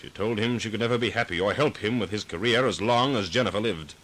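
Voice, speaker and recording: Low, bright-voiced radio detective. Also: male voice, fast. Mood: explaining. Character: brave, confident male amateur sleuth. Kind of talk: 1940s radio acting. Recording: faintly noisy vintage 1940s radio recording.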